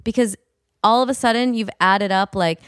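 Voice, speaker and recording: A woman's voice: mid-range voice, moderate pace, clear, resonant tone, no room sound, clean studio sound. A woman co-hosting a comedy podcast, talking in a casual, informal, conversational way. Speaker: comedy advice podcast co-host. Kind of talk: casual bantering chat.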